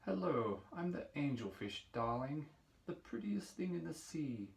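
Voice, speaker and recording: A man's voice: fairly high pitch, very animated, measured pace, creaky, voice pushed up, exaggerated voice. A man voicing a vain, self-adoring angelfish from a picture book in a theatrical, affected manner. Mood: vain, gushing. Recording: roomy echo, distant microphone.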